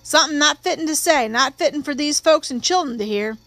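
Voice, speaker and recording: A woman voicing a frightened, polite young working man on trial in an audiobook, deferential, halting and earnest. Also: high voice, a bit bright, dry recording, pitched above the performer's own voice. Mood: anxious, earnest.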